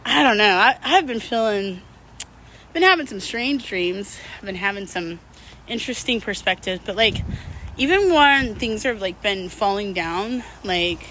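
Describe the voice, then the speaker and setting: A woman's voice: mid-range voice, expressive, unhurried, sharp tone, a little hiss or hum, rough recording. A middle-aged woman talking casually and unscripted to her viewers, candid and slangy, rambling and drifting mid-thought. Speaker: vlog host.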